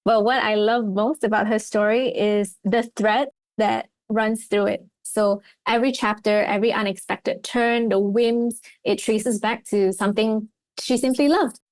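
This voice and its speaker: A woman's voice: medium pitch, steady tone, measured pace, few pauses, no room sound. Young woman, podcast host, chatty, fillers, dry close sound. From Malaysia. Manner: friendly, chatty, with many fillers. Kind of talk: casual interview chat.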